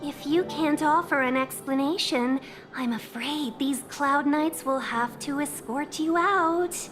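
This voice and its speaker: High-pitched young female game envoy: silver-tongued, polite, formal, over music. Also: slow. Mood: courteous, probing. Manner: formal, polite, flowery speech. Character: courteous, silver-tongued young woman merchant guild envoy. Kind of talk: video game voice acting.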